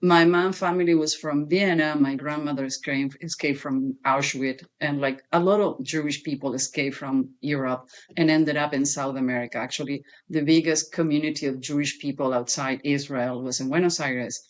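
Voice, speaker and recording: A woman's voice: low-pitched, normal speed, few pauses. Woman with an Argentine Spanish accent. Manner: lively, laughs easily, non-native grammar. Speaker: human behavior expert guest. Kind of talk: conversational interview. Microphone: video call.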